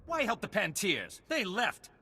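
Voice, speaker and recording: A sharp, fairly high male voice in a reverberant hall, for a video game captain. He is cynical and scornful, with lively swings in pitch. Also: unhurried, breathy edge, exaggerated voice. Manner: sneering, scornful. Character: bitter, cynical male soldier, a captain with a grudge.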